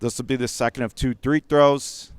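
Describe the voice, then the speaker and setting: A man calling high school basketball play-by-play for radio, rapid and rising to shouts on big plays. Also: steady tone, smooth, no room sound. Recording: radio broadcast call, ball bounces behind.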